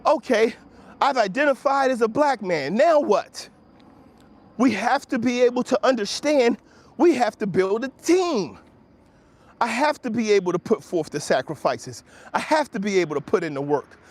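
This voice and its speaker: A man's voice: extremely high pitch, expressive, moderate pace. An American man in his fifties giving a motivational monologue, emphatic and preacherly, with repeated phrases and rhetorical questions. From Washington, D.C., United States. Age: 50s. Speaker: host of a motivational talk show.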